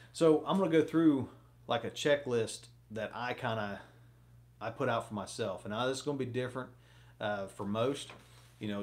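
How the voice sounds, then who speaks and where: A man in his thirties talking casually to camera from a small home office, rambling with many fillers while giving fishing advice. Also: lowish voice. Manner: rambling, lots of fillers, gestures a lot. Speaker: fishing vlogger.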